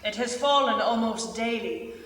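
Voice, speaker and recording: A woman playing a plainspoken British soldier writing to a friend, emphatic and expressive in reader's theater style. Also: on the high side, normal speed, lightly acted. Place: large indoor theater stage. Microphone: far camera. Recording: echoey theater stage, distant, faint noise.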